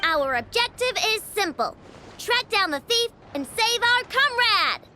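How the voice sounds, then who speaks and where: A woman's voice: very high voice, sing-song, measured pace, sharp tone, slight background noise, exaggerated voice. A woman voicing a headstrong young girl inventor in a video game, bossy and declamatory as she commands robots. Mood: commanding. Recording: music behind the voice.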